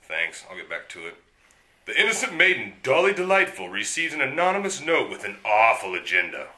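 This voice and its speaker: A man's voice: mid-range voice, sing-song, fairly quick, pushed and bright, reverberant. A man playing a jaded narrator in a comedy western radio play, theatrical but weary of the script. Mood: grumbling.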